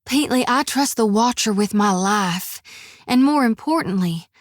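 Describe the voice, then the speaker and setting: An earnest, folksy young priestess from a fantasy game. Her is warm and plainspoken, and her voice sits somewhat high. The studio sound is dry and close. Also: lively intonation, measured pace, no room sound. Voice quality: drawling, folksy. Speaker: companion character. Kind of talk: video game voice acting.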